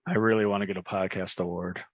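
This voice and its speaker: A man's voice: low voice, steady tone, fast, no echo. An American man hosting a podcast with casual, joking banter that tends to ramble. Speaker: podcast host.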